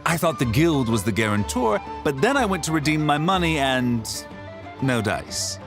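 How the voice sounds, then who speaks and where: An aggrieved female merchant from a video game. Her voice is low and moves expressively as she complains, with music clearly behind her. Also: big pitch swings, moderate pace.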